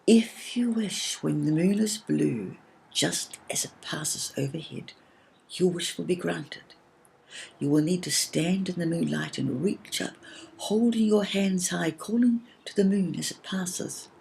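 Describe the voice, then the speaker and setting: A woman voicing a gentle, solemn little cloud in a children's story, speaking softly and carefully, at times in a whisper. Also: lowish voice, creaky, husky, heavily acted. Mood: hushed, solemn. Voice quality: soft, hushed, partly whispered. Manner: slow, careful, earnest.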